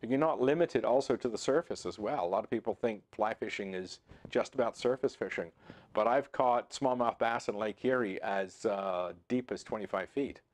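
A man in his sixties hosting a fly fishing channel, talking to camera in a relaxed, conversational way with frequent fillers and restarts, seated in a home office. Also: on the low side, moderate pace. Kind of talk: to-camera instructional talk. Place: home office with computer screens, indoors.

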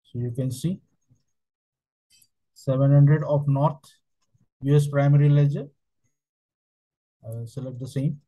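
A man working as a software trainer, narrating a step-by-step tutorial in halting, piecemeal phrases. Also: medium pitch, steady tone, muffled recording.

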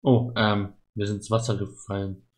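A man streams an adventure game in a low-pitched voice. His delivery is very flat, with little melody. He comments casually, thinking aloud in short, halting remarks. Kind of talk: casual live gaming commentary.

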